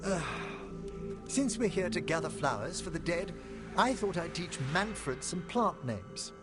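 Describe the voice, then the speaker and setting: Game necromancer scholar: older, gentlemanly, anxious thinker, theatrical diction, animated pitch, score underneath. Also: male voice, measured pace. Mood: courteous. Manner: formal, erudite, theatrical phrasing. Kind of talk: video game cutscene acting. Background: music.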